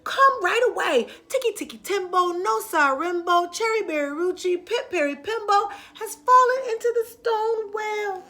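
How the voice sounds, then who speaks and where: A woman's voice: extremely high pitch, normal speed, hardly any pauses, sharp tone, voice pushed up, full character voice. A woman voicing a small, breathless boy who begs for help and keeps repeating a long name. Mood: pleading. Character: small frantic boy, breathless older brother.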